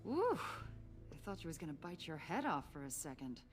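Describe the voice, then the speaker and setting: An actress playing an eager, chatty intelligence agent in a video game, breezy and conspiratorial. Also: female voice, low-pitched, sing-song, brisk, soft background music. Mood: eager, confiding. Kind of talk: dramatic video game acting.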